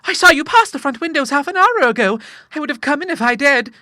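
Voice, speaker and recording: Guy doing a young lady way up high, gushing and fervent, sweet-hearted, bright audiobook voice. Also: lively intonation, fast, no room sound, heavily acted. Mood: fervent, eager. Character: eager, warm-hearted young woman, breathlessly devoted. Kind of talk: audiobook character voice.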